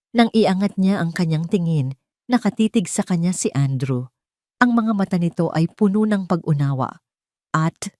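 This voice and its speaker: A woman with a Filipino accent narrating a scripted drama in an expressive, suspenseful storytelling way. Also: fairly low pitch, dry recording, studio quality.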